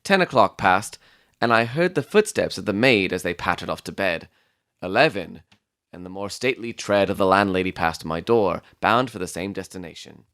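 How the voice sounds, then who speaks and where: A man playing an observant, composed Victorian gentleman who narrates a detective story in a measured, literary way. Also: sits low, expressive, no echo, studio quality. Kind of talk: dramatic audiobook narration.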